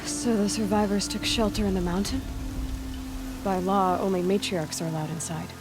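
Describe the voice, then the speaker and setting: A woman's voice: medium pitch, steady tone, moderate pace, over music, close to an everyday voice. An actress playing a brave, compassionate young woman warrior in a cinematic video game, speaking plainly and earnestly.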